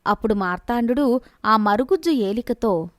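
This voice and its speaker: A woman narrating a scripted bedtime fantasy story in a steady, expressive storytelling manner. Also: fairly high pitch, moderate pace, dry recording, clean studio sound.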